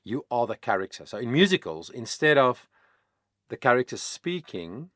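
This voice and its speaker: A man whose first language is Afrikaans, a vocal coach explaining singing in a casual, chatty way with many pauses and fillers. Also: medium pitch, very animated, fairly bright tone, dry recording, studio quality. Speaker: vocal coach, channel host. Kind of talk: casual reaction-video talk.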